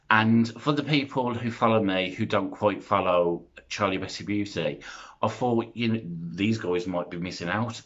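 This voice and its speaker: Guy in his 30s rambling on his channel, deep voice, kinda muffled lo-fi sound. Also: a bit bright. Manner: rambling, self-deprecating, chatty with many fillers. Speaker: YouTuber. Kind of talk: casual vlog chat.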